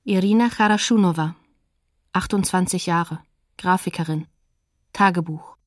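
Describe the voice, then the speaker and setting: A woman's voice: on the low side, normal speed, no echo, clean studio sound, natural voice. A woman announcing sources in a neutral, matter-of-fact way for a documentary radio play.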